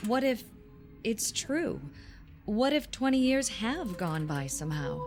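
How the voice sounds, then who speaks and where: An actress in a radio drama playing a supportive, gently teasing young woman. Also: on the high side, sing-song, slow pace, music in the background, heavily acted. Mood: concerned, tentative.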